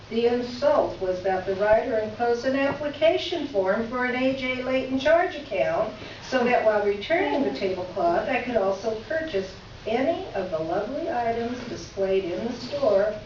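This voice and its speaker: A woman's voice: a little high, a little mellow. A woman performing a readers theater piece as an exasperated, sarcastic writer reading out her complaint letter with emphatic delivery. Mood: biting sarcasm. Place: reverberant room, recorded from a distance. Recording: echoey room, distant mic, muffled, faint noise.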